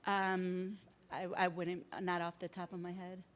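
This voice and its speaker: A woman presenter answering audience questions at a workshop, explaining in halting sentences full of fillers. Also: lowish voice, completely flat delivery. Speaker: civil service program presenter.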